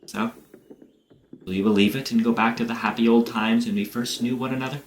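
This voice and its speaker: A woman playing a playful, affectionate young gentleman in a period audio drama, with faint noise and clicks. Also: rumbling low, fairly even intonation, brisk. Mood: earnest.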